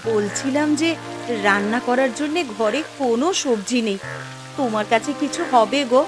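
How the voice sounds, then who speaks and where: A woman's voice: on the high side, moderate pace, over music, natural voice. A woman voicing a worried, dutiful poor village wife and mother in a cartoon, speaking in a plaintive, homely way. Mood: pleading. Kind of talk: Bengali fairy-tale cartoon voice acting.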